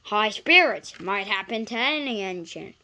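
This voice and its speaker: A woman voicing a pompous male steam engine in a children's storybook, grumbling and spluttering with drawn-out indignation. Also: medium pitch, lively intonation, bright, forceful tone, slight background noise. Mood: huffy.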